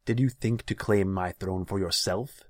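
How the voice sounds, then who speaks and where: A man voicing a cruel, hot-tempered young khan in a fantasy story, loud, indignant and imperious. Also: low-pitched, moderate pace, no room sound, clean studio sound, voice pushed up, natural voice. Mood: accusing.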